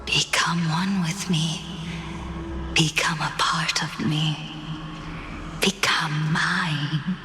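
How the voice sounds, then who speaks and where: A slow, whispering primordial temptress. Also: female voice, low-pitched, fairly even intonation, sharp tone, a little hiss or hum, exaggerated voice. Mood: hypnotic luring. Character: slumbering primordial female entity, alluring, menacing, coaxing. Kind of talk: video game voice acting. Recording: echoing cavernous reverb, music over voice.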